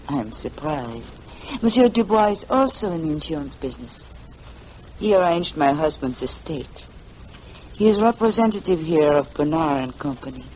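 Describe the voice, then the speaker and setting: Guarded, elegant noir widow. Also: female voice, on the low side, darkish tone. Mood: cool polite. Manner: formal, guarded. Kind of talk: 1940s radio drama acting. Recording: noisy 1940s radio broadcast recording.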